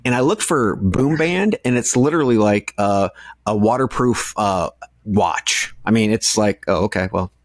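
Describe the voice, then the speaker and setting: A man's voice: lowish voice, normal speed, no echo. Irreverent male podcast host, dry close sound. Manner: irreverent, jokey. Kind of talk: casual podcast banter.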